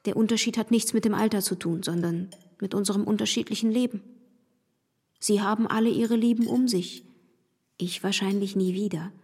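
An actress playing a reserved, polite young gentlewoman with quiet melancholy in a literary radio play. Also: mid-range voice, steady tone, normal speed, breathy edge. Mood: wistful, earnest. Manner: earnest, restrained, courteous. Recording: studio radio play with hall reverb.

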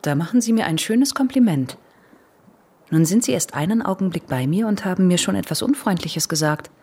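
A woman playing a coquettish young lady in a radio play, breathy and husky, teasing with gentle, plaintive reproach. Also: fairly low pitch, lively intonation, brisk. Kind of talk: German radio play acting.

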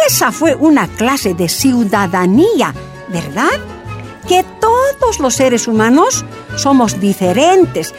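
Radio drama lead: determined Bolivian miners' woman, frank, high voice, very expressive swings. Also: exaggerated voice. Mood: storytelling, proud. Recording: music underneath.

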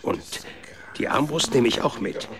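A man's voice: medium pitch, measured pace, a crowd in the background, close to an everyday voice. An actor playing a stubborn, boastful warrior nobleman in a children's radio drama, emphatic and blustering. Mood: stubborn, proud.

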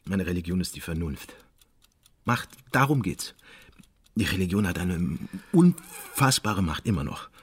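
Husky, cynical investigator. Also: male voice, low voice, very animated, exaggerated voice. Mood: brooding, insistent. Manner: insistent, blunt, halting repetitions when worked up. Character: agitated, cynical male state security investigator in therapy. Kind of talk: naturalistic German radio drama acting.

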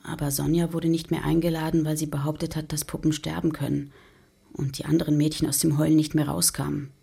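Eine Schauspielerin spielt in einem Hörspielmonolog eine müde alleinerziehende Mutter mittleren Alters, rau, nachdenklich und vertraulich. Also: female voice, flat delivery, fast, mellow, full character voice. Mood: weary, reflective. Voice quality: husky, low. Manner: reflective, confiding. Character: weary single mother doubting her strange daughter. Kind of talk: radio drama monologue.

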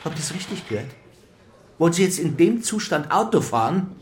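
A man's voice: fairly high pitch, sing-song, a little fast. Exasperated, scolding male police chief. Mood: stern. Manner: indignant, officious, reproachful. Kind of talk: radio drama acting.

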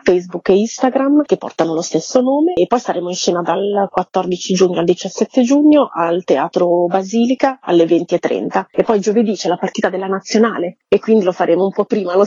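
A woman's voice: on the low side, dark tone, husky, no echo, highs cut off. A woman, speaking conversationally and reflectively as a theatre guest in a radio interview.

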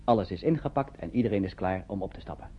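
A man acting as the wry narrator of a radio drama, with a husky voice. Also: low voice, expressive, quick pace, a little hiss or hum. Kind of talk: scripted radio play narration. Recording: dull old radio recording.

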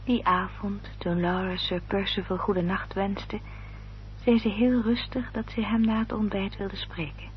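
A woman's voice: medium pitch, little pitch movement, unhurried, lightly acted. A woman playing a devoted, protective sister in a radio drama, speaking in a formal, literary and carefully articulated way. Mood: grave, anxious. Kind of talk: Dutch radio drama acting and narration. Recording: dull, noisy old radio recording with hum.

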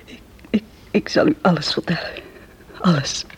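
An actress playing a fragile, overwrought wife in a radio play, halting and often dropping to a whisper. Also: female voice, lowish voice, husky. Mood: confessing. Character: fragile, overwrought married woman, secretive and halting. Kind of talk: Dutch radio play acting.

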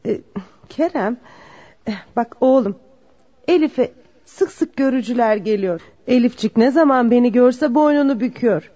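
A woman's voice: a little high, moderate pace, dark tone, natural voice. An actress playing a fond, nagging older mother in a radio drama, coaxing and full of homely endearments. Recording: dull lo-fi radio recording.

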